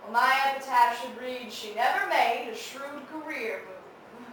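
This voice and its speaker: A teenage girl playing a sharp-witted, hard-drinking Texan woman newspaper columnist in a theatrical competition monologue, wry and swinging from hushed to loud. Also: on the high side, unhurried. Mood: wry, boisterous. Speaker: competitive speech performer. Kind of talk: dramatic monologue recited for a speech competition. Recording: echoey room, distant mic, faint noise.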